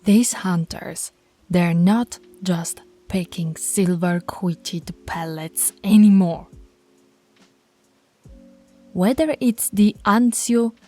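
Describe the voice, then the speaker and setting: A woman voicing a dominant, stern alpha werewolf pack leader in an ASMR roleplay, scolding and taunting in a commanding, deliberate way. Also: lowish voice, dark tone, faint music behind. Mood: stern lecturing.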